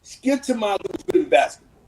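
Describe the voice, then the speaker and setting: A man's voice: high voice, big pitch swings, moderate pace, a little mellow, a little hiss or hum, rough recording. A man with a New York accent in a casual, impassioned phone conversation, slangy, profane and emphatic, often checking that he's being followed. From United States.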